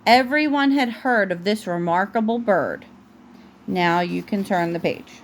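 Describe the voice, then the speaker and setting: A woman's voice: medium pitch, lively intonation, measured pace, slight background noise. A woman reading a storybook aloud as a mother to her young child, often breaking off to correct the child. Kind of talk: storybook read aloud at home, with asides to a child.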